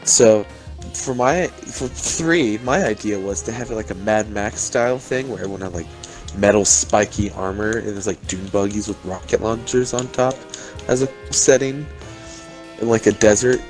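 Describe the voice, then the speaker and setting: A man's voice: fairly low pitch, moderate pace, soft background music. A man bantering casually with friends as a podcast co-host, blunt and joking, using slang and pushing with questions.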